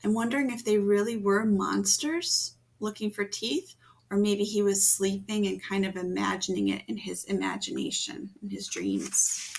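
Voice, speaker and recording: A woman reading a children's picture book aloud, gently pausing to ask her young listeners questions. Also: medium pitch, fairly even intonation, gravelly. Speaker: storytime reader.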